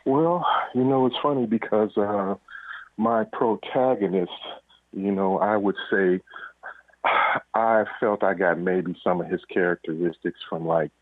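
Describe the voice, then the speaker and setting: A man speaking as an interview guest over a phone-like line, explaining things in a measured, reflective, conversational way. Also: on the low side, dry recording. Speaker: guest, police officer and author. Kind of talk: casual reflective interview talk. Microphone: phone or call line. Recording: tinny narrow phone-like line.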